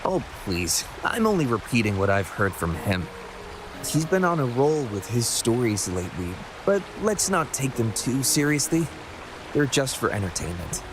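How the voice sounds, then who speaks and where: A man's voice: medium pitch, big pitch swings, moderate pace, lightly acted. A man playing a helpful local townsman in a fantasy video game, explaining like a storyteller, with music behind him. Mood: modest, dismissive.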